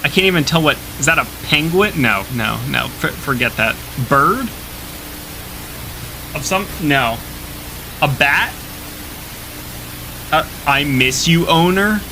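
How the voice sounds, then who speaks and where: A man's voice: fairly high pitch, expressive, slow pace, long pauses, noisy background. A young man hosting a reaction video with slangy, joking, exclamatory casual commentary.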